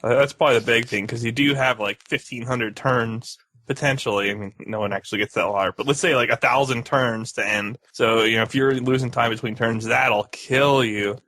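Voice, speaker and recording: A man's voice: medium pitch, fairly even intonation, a little fast, hardly any pauses, bright, forceful tone, no echo. A man co-hosting a gaming podcast, talking casually and explaining at length with some joking. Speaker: podcast co-host.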